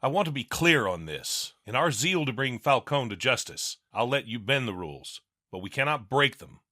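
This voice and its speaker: A man playing a principled police captain who speaks calmly and firmly. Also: medium pitch, moderate pace, no echo, clean studio sound. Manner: calm, firm.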